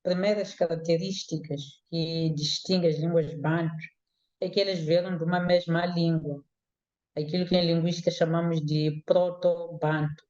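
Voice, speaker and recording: A woman linguist, giving measured, explanatory interview answers with some hesitations. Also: lowish voice, steady tone, darkish tone, muffled recording. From Mozambique.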